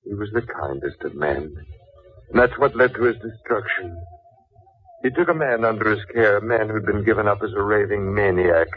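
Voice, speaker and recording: An actor playing a mysterious, mournful old man like a living corpse in an old-time radio drama, speaking gravely and ominously. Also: on the low side, dry recording. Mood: mournful, solemn. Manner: slow, grave, ominous delivery. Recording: tinny old radio recording, faint noise.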